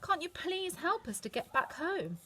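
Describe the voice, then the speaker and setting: A woman's voice: very high voice, very animated, measured pace, bright, forceful tone, voice pushed up, exaggerated voice. A woman voicing a sweet, earnest young farm girl who speaks in a pleading, homesick way. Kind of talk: storybook reading.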